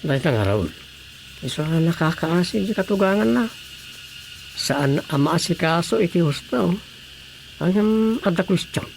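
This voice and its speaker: Gossipy male villager, highish gravelly voice, curious radio-drama chatter over faint noise. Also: animated, unhurried, pauses often. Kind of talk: Ilocano radio drama acting. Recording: faint background noise with crickets.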